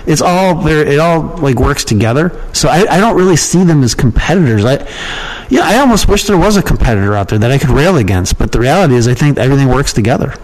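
A man's voice: on the high side, animated, quick pace, hardly any pauses, poor audio. A man giving casual, rambling and frank answers as an opinionated guest in an interview. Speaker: podcast guest, email marketer and copywriting trainer.

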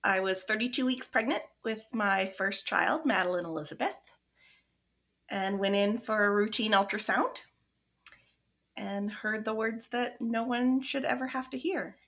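A Midwestern woman in her forties talks as a podcast guest, earnest and quick to agree. Her voice is bright and clear at a middle pitch. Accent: American Midwest. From American Midwest. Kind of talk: conversational interview.

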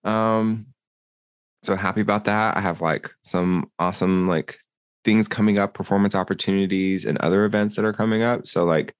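Man, very low voice, dry close sound, rambling casual podcast talk, lots of fillers. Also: fairly even intonation, normal speed, clear, resonant tone, no room sound. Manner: rambling, filler-heavy, earnest. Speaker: podcast co-host. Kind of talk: casual chat.

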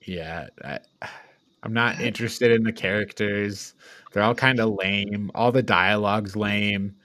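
A man's voice: lowish voice, fairly even intonation, measured pace, a bit bright, dry recording. A man co-hosting a podcast, chatting casually about a film in an exasperated, incredulous, informal way. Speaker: podcast co-host. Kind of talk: casual film review chat.

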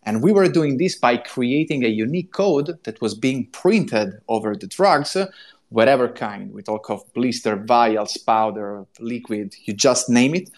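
A man's voice: mid-range voice, a little mellow. A man in his thirties with an Italian accent, talking enthusiastically as a guest on a live audio room show and explaining his work step by step. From Italy. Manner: enthusiastic, explains step by step in non-native English. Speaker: guest, crypto consultant.

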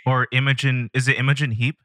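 A man's voice: medium pitch, flat delivery, fast, pushed and bright, dry recording, studio quality. A man hosting a podcast who chats in an easygoing way and asks curious, rambling questions. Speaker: podcast host. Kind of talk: casual podcast conversation.

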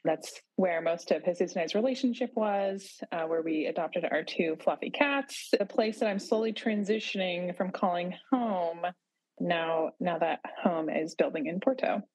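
A woman in her thirties talks in a lowish, breathy voice, recorded dry and close. She speaks as a podcast guest, reflective and searching, often restarting a phrase to find the right words. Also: normal speed, a little mellow, no room sound, low-quality recording. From Boise, Idaho area, USA. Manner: thoughtful, with frequent restarts and hedges. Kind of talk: reflective casual conversation.

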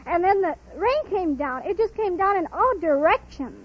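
A woman's voice: very high-pitched, very animated, moderate pace. A woman from Michigan chatting and telling a story as a contestant on a muffled old radio quiz. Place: broadcast studio with live audience.

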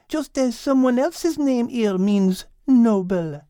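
A man's voice: very high voice, expressive, takes it slowly, warm tone, clear, resonant tone, dry recording, clean studio sound, pitched above the performer's own voice, full character voice. A man voicing a refined, kind female animal character in an audiobook story. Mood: gentle, amused.

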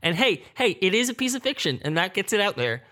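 Man, slightly high voice, casual roundtable discussion, candid, thinking out loud, self-correcting. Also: big pitch swings, fast. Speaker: audio drama creator and sound designer, roundtable panelist.

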